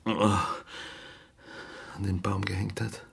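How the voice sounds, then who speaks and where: Husky, gravelly bereaved father. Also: lowish voice, flat delivery, exaggerated voice. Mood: anguished, accusing. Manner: halting, emotionally burdened speech. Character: bereaved, bitter father accusing his daughter's killer, halting and insistent. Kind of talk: naturalistic German radio drama acting.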